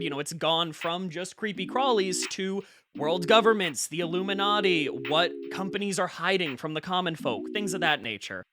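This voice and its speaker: An actor playing an excitable, paranoid male conspiracy vlogger in his late twenties, chatty, wry and emphatic, in an audio drama. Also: high voice. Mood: earnest, wry. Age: late 20s. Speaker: host of a conspiracy video channel. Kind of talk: scripted audio drama monologue as a casual vlog.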